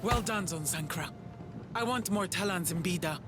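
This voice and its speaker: An actor playing a stern, zealous, commanding alien war leader in a video game. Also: male voice, sits high, moderate pace, noisy background, natural voice. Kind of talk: video game cutscene acting.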